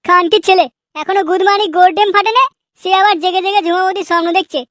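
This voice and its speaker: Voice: very high voice, little pitch movement, quick pace, a bit bright, dry recording, studio quality. A performer voicing a hot-headed, lazy troublemaker in a Bengali comedy cartoon, crude and blustering. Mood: quarrelsome.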